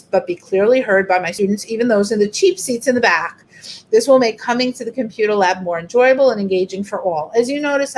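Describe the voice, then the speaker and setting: A woman's voice: medium pitch, a little hiss or hum, rough recording. A middle-aged woman teacher, walking a group through an online workshop in a chatty, explanatory way. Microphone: video call.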